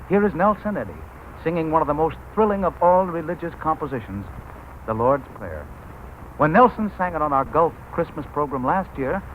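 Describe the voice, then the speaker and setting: A man with an American accent announces in a formal 1940s radio style. His voice sits fairly high, with emphatic, measured phrasing. The recording is dull and slightly noisy, like an old broadcast. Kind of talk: 1940s radio announcing, scripted sponsor message.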